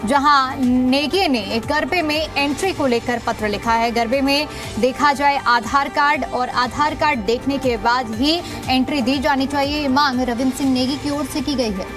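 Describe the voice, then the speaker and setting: A woman's voice: high-pitched, normal speed. A woman news anchor presenting live in a repetitive, explanatory news style. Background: background music.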